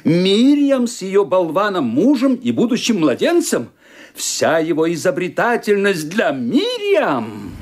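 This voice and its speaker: A man narrating a radio play with intense, expressive storytelling. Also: sits high, unhurried.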